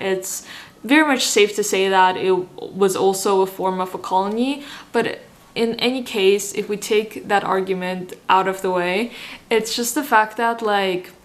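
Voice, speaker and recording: A woman in her twenties from Azerbaijan, whose first language is Russian, talking casually and reflectively in a vlog with many "like" fillers. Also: mid-range voice. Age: 20s. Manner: chatty, frequent "like" fillers, reflective. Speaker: vlogger.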